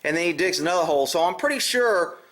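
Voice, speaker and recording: An American man with a notably high voice talks casually to his viewers. He rambles in a plainspoken, folksy way and curses mildly. The sound is clearly echoey and roomy. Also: lively intonation, quick pace, a bit bright. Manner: rambling, blunt, folksy with mild cursing. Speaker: video channel host. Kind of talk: casual vlog chat.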